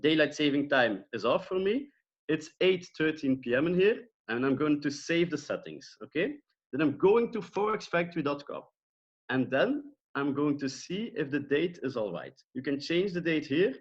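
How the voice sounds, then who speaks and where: A man's voice: on the high side, animated, moderate pace, breathy edge, muffled recording. A man from Belgium with a Belgian accent, whose first language is Dutch, giving a live online trading lesson in an upbeat way that checks in with his listeners often. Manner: upbeat, repeats phrases and checks in with "okay?". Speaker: trading educator.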